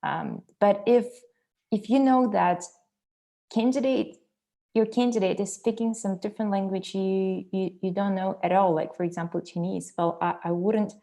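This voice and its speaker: Mellow-voiced Russian woman giving advice. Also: mid-range voice, fairly even intonation, moderate pace. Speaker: recruitment training Q&A panelist. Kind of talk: conversational advice in an online Q&A.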